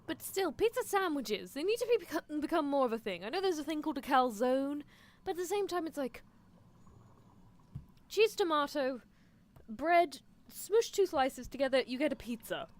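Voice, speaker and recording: A woman's voice: high voice, animated, normal speed. A woman streaming an improvised ASMR roleplay as a scheming, possessive anime mad scientist, muttering in theatrical exasperation. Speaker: streamer performing character roleplay.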